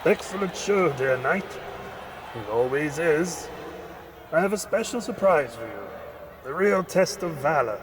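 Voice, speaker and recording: A man playing a lordly, cruelly amused king in an audio drama, speaking in a pompous, measured way. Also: a little high, expressive, noisy background. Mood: pleased, grand.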